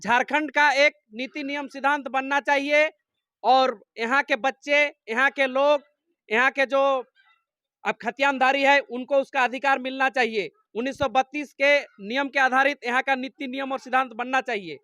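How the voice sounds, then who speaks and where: Man, Jharkhand accent, extremely high pitch, forceful tone, emphatic, repetitive, impassioned appeal, dry sound. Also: steady tone, normal speed, no room sound. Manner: insistent, emphatic, repeats phrases. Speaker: farm worker being interviewed. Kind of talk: impassioned political appeal in an interview.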